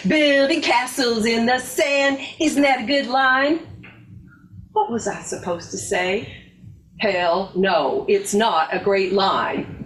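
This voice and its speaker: Female actor as scornful, combative wife, sardonic stage monologue, deliberate slow delivery, animated high pitch. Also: a little hiss or hum. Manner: sardonic, emphatic. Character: scornful, combative wife airing old grievances. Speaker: actor in a readers theater performance. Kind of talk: dramatic monologue reading.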